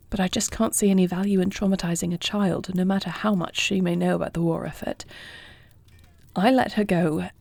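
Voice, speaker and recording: A woman playing a compassionate, self-doubting young dragon queen in a fantasy audio roleplay, speaking formally, earnestly and with soft intimacy. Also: lowish voice, gravelly, breathy edge, no echo. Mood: earnest, troubled.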